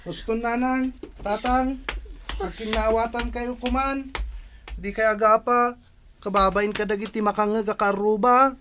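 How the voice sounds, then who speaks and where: Guy doing a teenage son in a radio drama, high voice, insistent pleading, faint noise. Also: steady tone, measured pace, sharp tone. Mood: protesting, upset. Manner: insistent, imploring. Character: earnest, protesting teenage son.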